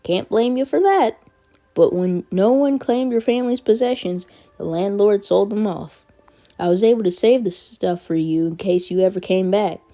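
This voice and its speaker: A woman's voice: medium pitch, normal speed, mellow, voice pushed up, natural voice. A woman voicing a kindly, motherly older female neighbour who talks in a folksy, welcoming way.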